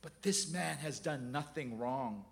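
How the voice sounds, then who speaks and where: A man's voice: mid-range voice, unhurried, creaky. A man voicing a condemned robber who speaks earnestly and urgently, in a raised voice. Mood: pleading. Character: penitent condemned male robber pleading.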